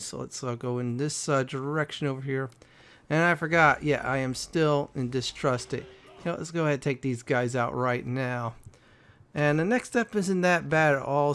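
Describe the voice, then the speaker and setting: A man's voice: medium pitch, fairly bright tone, clean tone. A man hosting a game walkthrough in a casual, chatty, easygoing way. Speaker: gaming video host.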